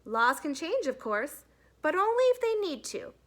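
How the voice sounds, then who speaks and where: A woman's voice: high-pitched, very animated, moderate pace, a bit bright, pitched above the performer's own voice. A woman voicing a stern, authoritative female schoolteacher in a storybook, lecturing with emphasis.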